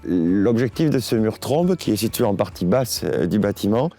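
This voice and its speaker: A man presenting a project as an architect, explaining in deliberate, halting phrases. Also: lowish voice, expressive, mellow.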